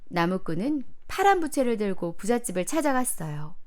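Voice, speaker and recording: Lively woman reading a children's storybook. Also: mid-range voice, fairly quick. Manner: expressive, careful storytelling for young children. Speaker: storybook narrator.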